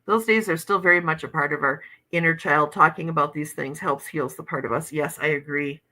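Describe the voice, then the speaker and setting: A woman's voice: sits low, fairly quick, bright, forceful tone. A woman in her fifties hosting a casual livestream, chatting and laughing as she reads out viewer comments. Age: 50s. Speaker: livestream host.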